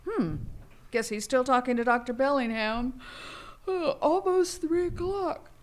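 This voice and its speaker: A woman's voice: high voice, a little slow. A woman playing an anxious, polite and hesitant wife in a live radio drama. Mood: anxious, searching. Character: anxious, polite American wife, tourist guest. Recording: live stage recording with background noise.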